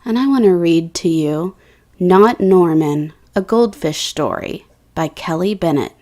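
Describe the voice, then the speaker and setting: A woman reading a children's picture book aloud, slow and expressive, giving the characters' quotes their own lift. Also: lowish voice.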